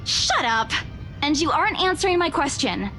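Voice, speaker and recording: A woman's voice: sits high, very animated, moderate pace, bright, forceful tone, soft background music, heavily acted. A woman playing an exasperated, sarcastic young real estate agent in a visual novel, bantering emphatically. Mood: annoyed.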